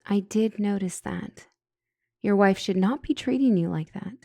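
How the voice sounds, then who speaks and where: A woman's voice: medium pitch, unhurried, vocal fry, dark tone, no room sound, studio quality. A woman playing a flustered, smitten couples counselor who stumbles and corrects herself in a comedic audio roleplay. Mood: flirty, awkward. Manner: stammering, awkwardly self-correcting. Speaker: doctor and counselor.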